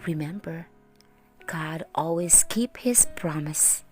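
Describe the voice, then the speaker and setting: A woman teacher narrating a children's Bible story in a slow, deliberate and expressive way. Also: deep voice. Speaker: teacher reading stories for children. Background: soft background music.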